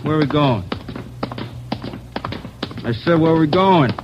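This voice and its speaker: A man's voice: fairly high pitch, lively intonation, unhurried, over music. A man acting a surly, defiant gunman in a 1940s radio crime drama, with an American accent and a sullen, evasive delivery. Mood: agitated, wheedling. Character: surly, defiant young punk gunman in custody. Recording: noisy, dull vintage radio recording.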